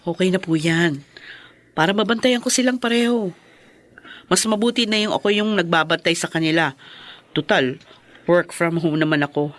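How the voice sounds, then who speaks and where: A woman playing a tired young mother in a radio drama, soft, hesitant and deferential. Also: on the low side, normal speed, long pauses, dry recording, close to an everyday voice. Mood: reassuring. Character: tired young mother reassuring her worried father. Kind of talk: Tagalog radio drama acting.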